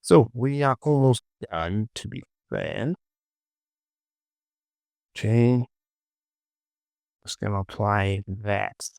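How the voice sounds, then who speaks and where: Male tutorial instructor, low and unhurried, informal short phrases, close dry recording. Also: big pitch swings, vocal fry, darkish tone, no echo. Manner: informal, muttered short instructions to self and viewer. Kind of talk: casual screen-recorded software tutorial, talking while working.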